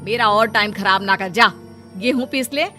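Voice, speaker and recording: A woman's voice: mid-range voice, normal speed, faint music behind, lightly acted. A woman playing a contemptuous, berating sister-in-law in a Hindi cartoon story. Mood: angry, scolding. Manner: sharp, berating.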